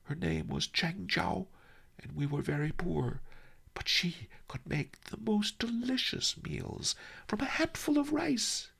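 Narrator playing an odd, excitable doctor for a storybook reading; high, lively, gravelly underneath. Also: male voice, slow pace, exaggerated voice. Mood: fervent. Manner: high fluttery voice, bursts into shouting. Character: eccentric gentle male doctor, excitable.

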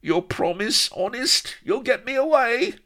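Little squeaky coward pirate, super high voice, nervous babbling, storybook villain sidekick. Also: male voice, expressive, unhurried, bright, forceful tone, exaggerated voice. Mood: frightened. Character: small, cringing, cowardly one-legged pirate henchman.

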